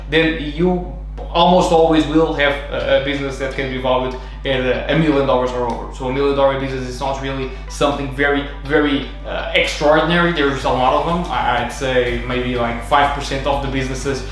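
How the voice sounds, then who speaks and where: A man's voice: medium pitch, fairly even intonation, normal speed. A man with a thick Portuguese accent, talking casually and confidently as a podcast host, with many fillers and restarts. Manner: chatty, self-assured, many fillers and restarts. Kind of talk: casual talk to camera. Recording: very echoey room, faint music.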